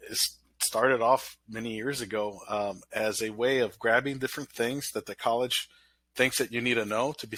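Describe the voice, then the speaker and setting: A man's voice: medium pitch, pushed and bright, no room sound. A man, a college instructor, talks through an online course in a casual, rambling way with many fillers. His voice is nasal from an illness. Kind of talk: recorded online course walkthrough.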